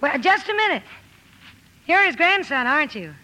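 High, bright, spirited tomboy. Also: female voice, very animated, moderate pace, exaggerated voice, rough recording. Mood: earnest, excited. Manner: hearty, emphatic exclamations. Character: spirited, tomboyish young woman, impulsive and blunt. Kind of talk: period radio drama acting with narration.

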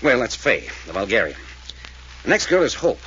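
An actor playing a calm, confident male detective who explains things in a measured way, in 1940s radio-drama style. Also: mid-range voice. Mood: explaining. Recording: lo-fi old radio transcription with faint hiss.

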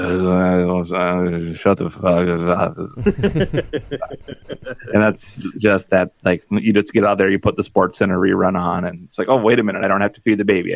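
Man, low voice, gravelly, dry dull lo-fi sound, rambling podcast co-host. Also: no room sound. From Minnesota. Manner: rambling, self-deprecating, lots of fillers. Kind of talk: casual chat.

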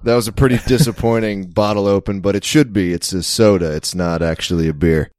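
A man's voice: fairly low pitch, fairly quick, darkish tone, no room sound. A man hosting a podcast in casual chat, joking and laughing often. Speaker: podcast host.

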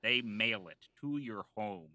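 A man with a very bright, forceful voice on the low side, co-hosting a comedy podcast. He improvises in character, halting and rambling through mock-earnest explanations. The sound is close and dry. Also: animated, no room sound. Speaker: podcast co-host.

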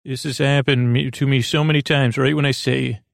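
A man with a noticeably creaky voice talks softly reassuring nonsense as a bedtime podcast host. He wanders off on tangents, stops and restarts his sentences, and keeps a friendly, gentle manner. The sound is dry, with hardly any room in it. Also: medium pitch, steady tone, moderate pace, dry recording. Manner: hesitant, digressive, often restarting mid-sentence. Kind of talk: rambling, meandering monologue to lull listeners to sleep.

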